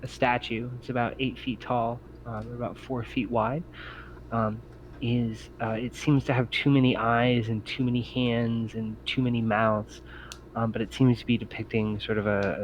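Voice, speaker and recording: A man narrating a tabletop roleplaying game as game master, feeling his way through phrases with frequent ums. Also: lowish voice. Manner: hesitant, piecemeal phrasing with many ums. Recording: muffled lo-fi audio with background noise.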